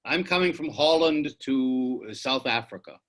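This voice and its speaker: An older man speaking as an interview guest over a video call, in a lively, swooping delivery. His voice is bright and forceful, lecturing with emphasis and frequent restarts. He keeps coming back to "you see" as he builds his case. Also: mid-range voice, moderate pace. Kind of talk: earnest explanatory conversation.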